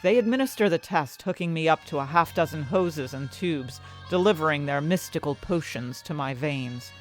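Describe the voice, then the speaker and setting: A woman's voice: deep voice, animated, moderate pace, faint music behind. A woman acting an anxious, desperate young heroine who tells her own fantasy story in intense, dramatic first-person narration. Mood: uneasy. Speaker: narrator and main character of an audio fiction story.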